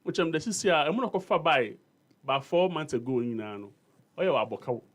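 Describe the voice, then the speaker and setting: A Ghanaian man pressing his point in a heated studio debate. His voice sits a little high, and he argues in a mix of English and Twi. He sounds insistent and argumentative. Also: expressive, quick pace. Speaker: panel guest. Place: radio studio.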